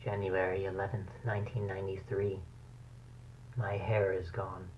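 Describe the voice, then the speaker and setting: A man acting a brooding, low-voiced mutating scientist, muffled tape. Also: completely flat delivery, normal speed, lightly acted. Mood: worried. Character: anxious male scientist slowly mutating into a bat creature. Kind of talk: horror audio diary, analog horror acting. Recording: dull, humming, noisy tape recording.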